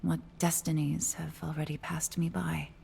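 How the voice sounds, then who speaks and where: A woman playing a wistful, guarded fox spirit in a fantasy game, speaking softly, breathily and hesitantly. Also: low voice, little pitch movement, normal speed, vocal fry, husky, heavily acted. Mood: wistful, troubled. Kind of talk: cinematic fantasy game acting.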